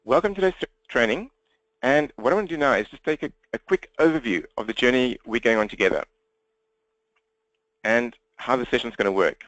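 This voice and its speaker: Man, South African accent, slightly croaky, energetic webinar presenter, dry close sound. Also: medium pitch, dry recording, dull audio. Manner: energetic, talks fast, direct and upbeat. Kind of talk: interactive webinar training. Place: indoors at his computer, standing.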